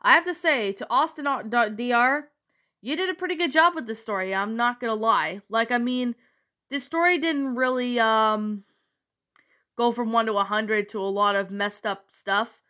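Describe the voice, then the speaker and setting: A woman's voice: fairly high pitch, moderate pace, sharp tone. A woman with a bad cold reading a creepy story, then chatting about it in a rambling way with many fillers. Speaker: creepypasta narrator. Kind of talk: storytelling narration then casual review.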